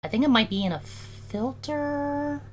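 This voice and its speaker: Chatty high-voiced streamer explaining edits. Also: animated, measured pace, a little hiss or hum. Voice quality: high-toned voice. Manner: chatty, heavy use of "like" and "um". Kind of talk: casual live-stream chat while editing audio.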